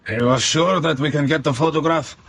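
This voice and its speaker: A man's voice: fairly high pitch, steady tone, fairly quick, gravelly, a bit bright, husky, full character voice. An actor in a radio drama playing a vain, imperious European king, pompous, impatient and melodramatic. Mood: demanding. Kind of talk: BBC radio drama acting.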